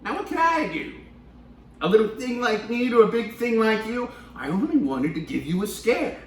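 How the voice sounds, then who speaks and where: A man voicing a cheeky little gray male mouse with a squeaky, teasing way of talking. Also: big pitch swings, moderate pace, slight background noise. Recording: echoey room from a distance.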